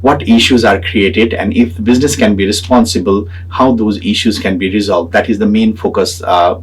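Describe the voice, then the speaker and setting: A man with a Nepali accent explaining his research as a graduate student in a halting way, pausing often as he talks. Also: on the low side, fairly even intonation, slight background noise, rough recording. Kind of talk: semi-prepared explanatory talk about own research.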